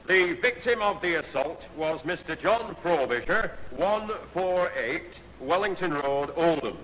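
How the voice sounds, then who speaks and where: Weary, officious constable. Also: male voice, a little high, animated, slow, a bit bright. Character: long-suffering, officious English police constable. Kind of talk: 1970s radio sitcom acting. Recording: reverberant, slightly noisy old radio recording.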